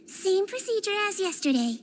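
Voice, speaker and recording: A woman voicing a gentle, clever little nurse girl in a video game, polite and carefully explaining. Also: extremely high pitch, very animated, normal speed, a little hiss or hum. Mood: earnest, explaining. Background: game music.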